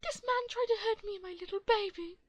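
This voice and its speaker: A woman voicing a shrill, hysterical entitled mother who shrieks and complains indignantly. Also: brisk, no echo, dull audio. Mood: outraged. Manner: shrieking, high-pitched, indignant.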